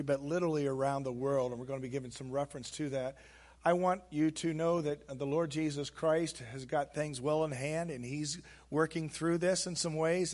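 A hoarse man speaking as a pastor, giving casual church announcements with frequent fillers. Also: on the high side. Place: church sanctuary.

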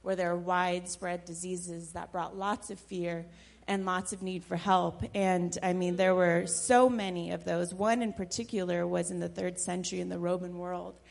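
A woman with a low, gravelly voice speaks earnestly to her congregation. She reflects on her week with a few fillers. Her tone is full of vocal fry. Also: little pitch movement, moderate pace. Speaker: church member sharing a reflection. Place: church sanctuary.

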